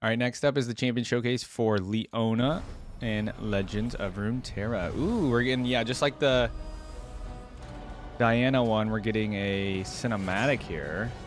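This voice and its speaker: A man's voice: fairly low pitch, unhurried, soft background music. A man hosting a casual reaction video, informal and thinking aloud as he comments.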